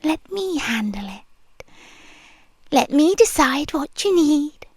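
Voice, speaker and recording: A woman's voice: sits high, very animated, no room sound. A woman playing a whispering possessive nurse. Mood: coaxing. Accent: British. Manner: slow, soft, intimate whispering close to the ear. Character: possessive female nurse, soft and controlling. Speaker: ASMR roleplay performer. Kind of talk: whispered ASMR roleplay.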